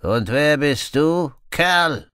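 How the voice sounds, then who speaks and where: A man voicing a grim, cruel stranger in an audiobook, gruff, harsh and curt. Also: a little high, animated, very slow, a bit bright, no room sound, studio quality, pitched above the performer's own voice, exaggerated voice. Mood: icy threat. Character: menacing, grim male stranger, cruel villain in horsehide.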